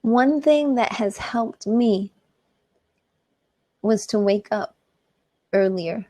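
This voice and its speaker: A woman from Miami, a singer and artist tutoring on a video call, cheerful and quick to laugh. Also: medium pitch, dark tone. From Miami, Florida. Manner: cheerful, gushing, laughs often. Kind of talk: casual video-call conversation.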